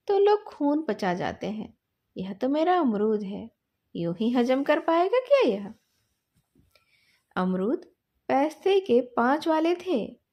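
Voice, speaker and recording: A woman tells a story in the first person. Her voice sits very high and swings widely in melody, while the delivery is unhurried, like bedtime narration. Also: darkish tone.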